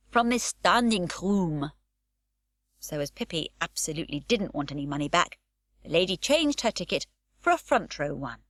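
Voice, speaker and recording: A woman narrating an unabridged children's audiobook, with expressive, varied storytelling. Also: deep voice, no echo, clean studio sound.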